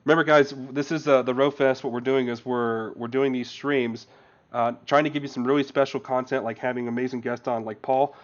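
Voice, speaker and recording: A man hosting a livestream, upbeat and quick to laugh. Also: medium pitch, steady tone, muffled recording. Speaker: stream host.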